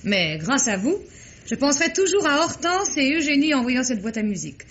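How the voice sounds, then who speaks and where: An actress playing a gracious, theatrical film-star hostess with effusive society charm in a vintage French radio mystery. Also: female voice, high-pitched, big pitch swings, brisk, a bit bright, a little hiss or hum. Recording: dull vintage radio, background voices.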